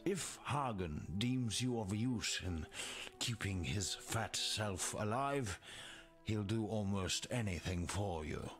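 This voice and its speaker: A man playing a grim, wary rogue survivor in a video game, speaking in a measured, sardonic way. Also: fairly low pitch, expressive, soft background music. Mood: cynical, warning. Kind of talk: video game character dialogue.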